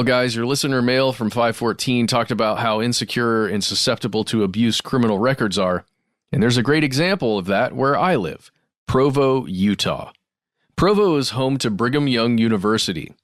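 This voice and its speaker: A man's voice: mid-range voice, dry recording. Male podcast co-host, dry close studio sound. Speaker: podcast co-host reading listener mail. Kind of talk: conversational podcast, reading emails aloud with asides.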